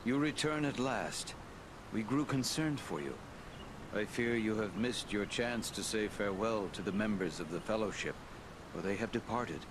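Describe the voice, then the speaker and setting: An actor playing a wise, grave elven lord in a fantasy game, giving counsel in formal, measured phrases. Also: male voice, lowish voice, animated, close to an everyday voice. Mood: grave, dignified. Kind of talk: fantasy game cutscene acting.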